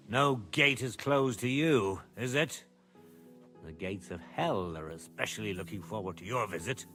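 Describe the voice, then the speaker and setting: A man's voice: lowish voice, animated, a little slow, fairly bright tone, soft background music. A man playing a commanding, sardonic middle-aged medieval lord and father in a dramatic video game.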